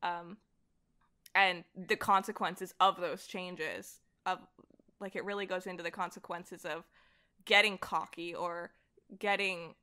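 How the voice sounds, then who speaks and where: Bright-voiced young woman chatting. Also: on the low side, measured pace, clear, resonant tone. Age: young adult. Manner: animated, rambling, frequent "like". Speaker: podcast guest, voice actor. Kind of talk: casual conversation about comics.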